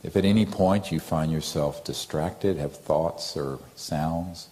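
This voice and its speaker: Very deep, slow-spoken male meditation guide. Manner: gentle, calm, soothing. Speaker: meditation teacher.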